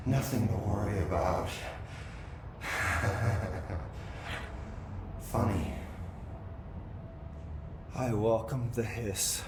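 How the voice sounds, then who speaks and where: An actor playing an unhinged, possessed captive man in a video game, chanting cryptically with eerie detachment. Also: on the low side. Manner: slow, chanting, cryptic repetition. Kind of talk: dramatic video game acting. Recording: roomy echo with clear background noise.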